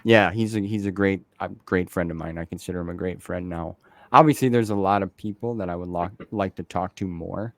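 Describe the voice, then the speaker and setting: A man with a fairly low voice hosts a casual interview. His speech is informal and full of easygoing slang. The sound is dry, with very little room in it. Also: fairly quick, no echo. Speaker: interview host.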